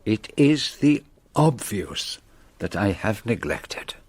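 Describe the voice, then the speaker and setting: An actor playing a proud, shrewd detective with a Belgian French accent in a radio play, speaking formally and precisely. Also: male voice, lowish voice, very animated, slow, a little mellow, slightly rough, full character voice. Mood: musing, self-satisfied.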